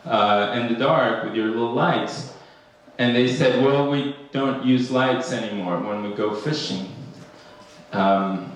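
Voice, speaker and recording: A man's voice: medium pitch, a bit bright, a little hiss or hum. A man from Ecuador giving a conference talk, hesitant with many fillers and restarts. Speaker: academic panel speaker. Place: conference room. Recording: echoey hall, speaker far from mic.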